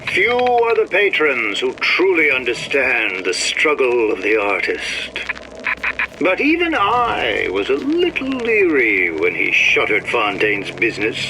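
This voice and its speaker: An actor playing a pompous, self-justifying artist in a video game, with a florid, affected delivery. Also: male voice, mid-range voice, very animated, slow, sharp tone, a little hiss or hum, exaggerated voice, poor audio. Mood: smug.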